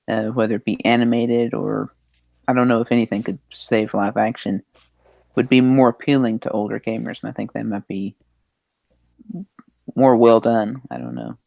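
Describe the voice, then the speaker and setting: A man giving measured, tentative opinions in a casual podcast discussion. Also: medium pitch, creaky, a little mellow, no room sound. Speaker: podcast panelist.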